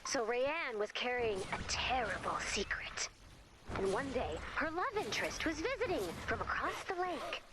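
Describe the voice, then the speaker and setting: A woman's voice: on the high side, very animated, normal speed, heavy background noise. An actress voicing a flustered, lovesick young woman in a video game, rambling awkwardly and interrupting herself as she goes. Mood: nervous storytelling. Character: flustered, chatty, lovesick young woman improvising a camp scary story.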